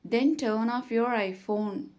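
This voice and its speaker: A woman reading scripted step-by-step tutorial narration in a measured, instructional manner. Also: a little high, darkish tone.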